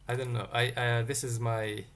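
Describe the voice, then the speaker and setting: A man's voice: medium pitch, little pitch movement, slow, fairly bright tone, a little hiss or hum. A man leads a live-streamed coding lesson in a mid-range voice, thinking aloud as he goes. He stops to pick up viewers' questions from the chat. Faint keyboard typing can be heard behind him. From Tunisia. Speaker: livestream tech teacher.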